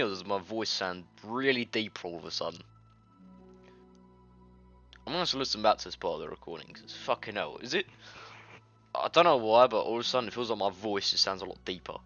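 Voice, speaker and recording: Brief: casual sweary British gamer, low voice with a bright edge. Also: male voice, lo-fi sound. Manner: sweary, offhand. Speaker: gamer narrating a visual novel. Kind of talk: casual reading and chat over gameplay.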